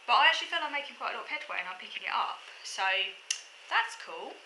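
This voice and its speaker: A woman's voice: high-pitched, sing-song, quick pace, bright, forceful tone, echoey room, slight background noise, rough recording. A woman in a casual rambling monologue, recorded at home, chatting with lots of ums and restarts. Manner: chatty, many ums and restarts, self-deprecating asides. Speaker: vlogger. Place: room at home.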